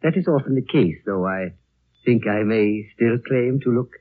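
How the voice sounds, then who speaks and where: An actor playing a courteous, discreet elderly lama with formal, measured speech, in 1930s radio drama style. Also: male voice, mid-range voice, lively intonation, natural voice. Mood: courtly, measured. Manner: formal, measured, politely evasive. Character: courteous, discreet lama aged over a hundred, looking younger. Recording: thin, narrow old 1930s radio recording.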